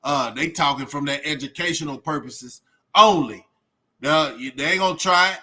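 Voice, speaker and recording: High, bright-voiced man ranting casually. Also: normal speed. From Texas, United States. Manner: streetwise slangy talk with frequent "man" and "bro". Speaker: host of a reaction livestream. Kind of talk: casual opinionated rant to viewers.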